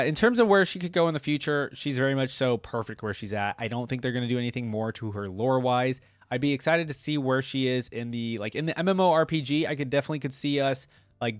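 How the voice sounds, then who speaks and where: A man's voice: mid-range voice, no room sound. A man giving casual, rambling reaction commentary as a video creator, in a conversational way.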